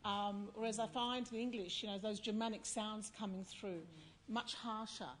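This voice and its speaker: A woman's voice: medium pitch, steady tone, normal speed, slight background noise. A woman chairing a festival panel, posing questions and musing aloud in a curious, chatty way with frequent fillers. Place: festival venue before an audience.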